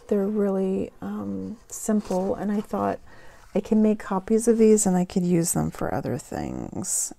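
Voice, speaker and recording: Woman vlogger, relaxed haul chat, gravelly and dark, a bit hoarse. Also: mid-range voice. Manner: slow, unhurried, chatty with fillers. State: voice getting raspy. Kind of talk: casual haul show-and-tell.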